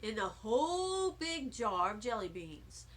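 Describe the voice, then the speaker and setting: A woman's voice: on the high side, big pitch swings, measured pace, voice pushed down. A woman voicing a friendly, easygoing dad who patiently explains a party game in a children's story. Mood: calm, encouraging. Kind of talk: children's audiobook character voice.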